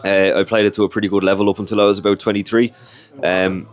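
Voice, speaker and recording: A man's voice: fairly low pitch, level tone, quick pace. A young Irish man, whose first language is Irish, chatting casually in an interview and rambling with lots of fillers. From Ireland. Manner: rambling, heavy on "you know" and "like" fillers.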